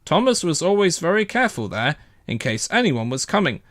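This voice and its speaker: Animated, higher-voiced man reading a storybook. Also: brisk, dry recording, clean studio sound. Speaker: storybook reader, narrator. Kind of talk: scripted children's story narration.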